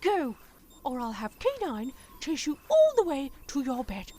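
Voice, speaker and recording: A woman playing a motherly, brisk adventurer in an audio drama, with an earnest manner. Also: sits high, wildly swooping pitch, dark tone, exaggerated voice. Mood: brisk, fond. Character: motherly, brisk female alien-fighting adventurer. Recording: clearly noisy background.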